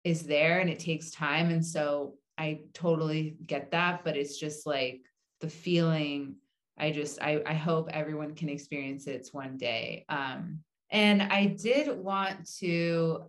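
A woman's voice: sits low, measured pace. A woman of about thirty who stutters, moderating a casual panel talk with occasional part-word repeats. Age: around 30.

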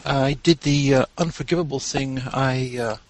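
English guy, guest storyteller, wry and relaxed, lots of ums. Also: mid-range voice, normal speed, background noise. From England. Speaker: guest author. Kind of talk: casual interview chat.

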